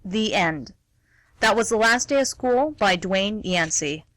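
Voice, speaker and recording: A woman's voice: mid-range voice, expressive, unhurried, a bit bright, no echo, low-quality recording. A woman reading out scripted credits as an announcer for an amateur radio theatre show.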